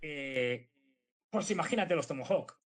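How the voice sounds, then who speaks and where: A man's voice: on the high side, animated, moderate pace. A man giving conversational live political analysis as a commentator.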